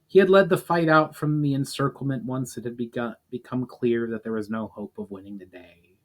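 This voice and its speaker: A man's voice: medium pitch, brisk. A man reading a fantasy story aloud as a dramatic narration. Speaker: author reading own fiction aloud.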